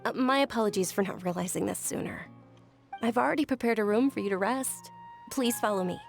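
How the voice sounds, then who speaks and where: A woman playing a gentle, gracious young noblewoman in an anime game, speaking politely and considerately. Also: medium pitch, fairly quick, creaky, lightly acted. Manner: polite, soft-spoken, considerate. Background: background music.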